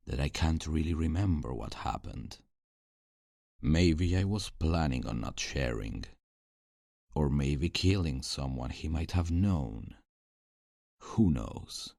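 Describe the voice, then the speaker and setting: Man playing a male vampire villain, extremely low gravelly voice, slow, taunting, theatrical, dark ASMR roleplay. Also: no echo, clean studio sound, heavily acted. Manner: theatrical, taunting, mock-polite, drawn-out.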